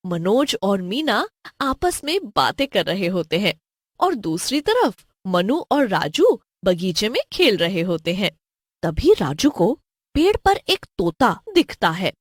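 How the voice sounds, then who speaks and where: A woman's voice: mid-range voice, big pitch swings, takes it slowly, dry recording, clean studio sound. A woman narrating a scripted horror story as a storyteller.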